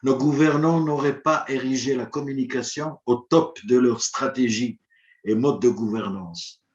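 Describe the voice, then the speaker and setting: Male conference speaker, first language Arabic; formal, measured, rhetorical; mid-pitched voice in a roomy space, dull lo-fi sound. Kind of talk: formal prepared address.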